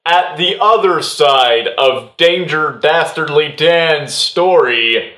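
A man playing a grandly deliberate, theatrical narrator of an improvised radio play. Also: on the high side, pushed and bright. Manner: slow, drawn-out, theatrical. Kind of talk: mock old radio narration. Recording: echoey room.

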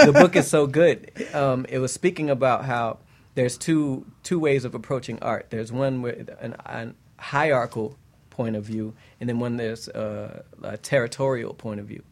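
A man with a medium-pitched voice talks in a casual panel discussion. He is conversational and emphatic, often checking that people are following him. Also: moderate pace.

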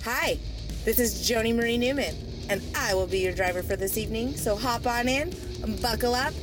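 A woman's voice: on the high side, normal speed. A woman hosting a podcast, opening the episode in an upbeat, chatty way over music. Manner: upbeat, chatty, self-described loud voice. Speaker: podcast host. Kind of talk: podcast intro.

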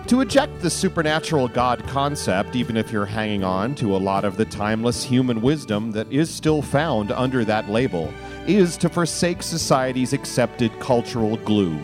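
A man hosting a radio show, reading scripted satirical narration in a measured, mock-serious announcer's delivery. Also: medium pitch, big pitch swings. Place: live stage radio broadcast. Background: music.

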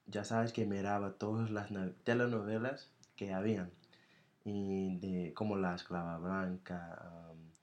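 Man, low voice, slow, halting, restarts phrases, casual interview. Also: little pitch movement, a little mellow, lo-fi sound. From United States. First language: English. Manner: halting, searching for words with many restarts. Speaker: podcast co-host.

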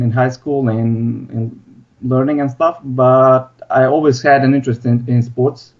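A man's voice: mid-range voice, darkish tone. A young man with a Balkan accent, chatting casually as a podcast guest over a lo-fi internet call. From Bosnia. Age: young adult. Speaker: podcast guest, sports science researcher. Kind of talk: casual chat. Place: remote Skype call. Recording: dull, lo-fi internet call audio.

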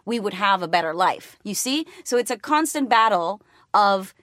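A woman's voice: medium pitch, expressive, normal speed, a bit bright, no room sound, clean studio sound. A woman whose first language is Spanish, co-hosting a podcast in casual chat, searching for words and restarting phrases earnestly. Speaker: podcast co-host.

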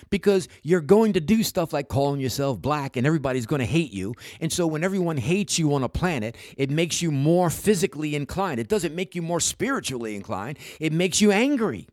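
A man's voice: medium pitch, darkish tone, no echo, clean studio sound. A man giving an informal spiritual monologue to his listeners, speaking emphatically and repeating himself, with check-in tags and chuckles.

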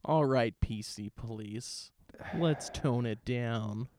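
A man's voice: mid-range voice, slow, darkish tone, slight background noise. A man co-hosting a podcast in casual conversation, playful and teasing in manner. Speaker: podcast co-host. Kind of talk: casual chat.

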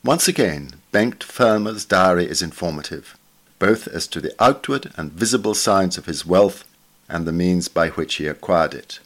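A man reading a literary article aloud as scripted narration, in a measured, formal manner. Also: low voice, animated, a bit bright. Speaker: reader of a journal article.

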